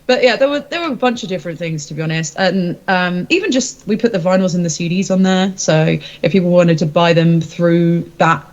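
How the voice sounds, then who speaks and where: A woman's voice: fairly low pitch, a little fast, hardly any pauses, a little mellow, muffled recording. A woman, a singer-songwriter, chatting casually and informally in an interview in a rambling way.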